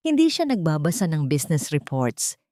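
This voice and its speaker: Female storyteller for romance drama: lowish, mellow voice, sentimental scripted delivery. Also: big pitch swings, normal speed, dry recording, studio quality.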